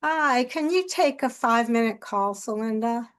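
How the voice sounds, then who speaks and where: A woman's voice: fairly high pitch, animated, moderate pace. A woman taking the part of a caller seeking help in a role-played peer-support call.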